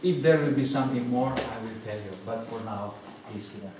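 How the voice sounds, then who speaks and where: A Slovenian-born man organizes a seminar with businesslike announcements, pausing on hesitant "uh"s. He is captured far from the mic in a strongly echoing room, with loud noise, clicks and drawer sounds behind. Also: medium pitch.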